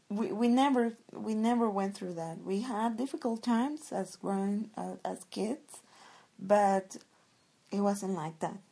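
A woman's voice: medium pitch, vocal fry, mellow. A woman from El Salvador, a guest speaking reflectively and searching thoughtfully for her words. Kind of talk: reflective conversation.

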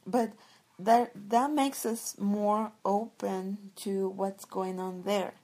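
A woman's voice: mid-range voice, steady tone, slow, mellow. A woman from El Salvador, a guest speaking reflectively and searching thoughtfully for her words. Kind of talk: reflective conversation.

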